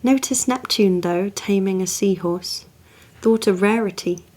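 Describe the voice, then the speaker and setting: A woman playing a cold, proud, possessive Renaissance duke in a poetry monologue, speaking in a haughty, measured, hushed and insinuating way. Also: mid-range voice, close to an everyday voice. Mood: polished, imperious. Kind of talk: dramatic monologue, poetry reading.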